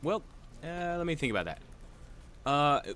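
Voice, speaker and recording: A man's voice: on the high side, sing-song, normal speed, fairly bright tone, a little hiss or hum. A man playing a lovelorn, awkward office worker in a comedic audio play, hesitant and earnest. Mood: sheepish.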